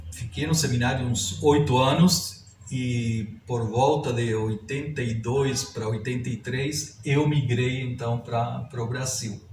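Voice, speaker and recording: A man in his sixties with a Chilean Spanish accent speaks as an interview guest, reflective and halting, often adding little tag questions. He is heard from a distance in an echoey room. There is clear noise behind him. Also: medium pitch. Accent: Chilean Spanish accent in Portuguese. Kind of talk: reflective autobiographical interview talk. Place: indoors at a publisher's office.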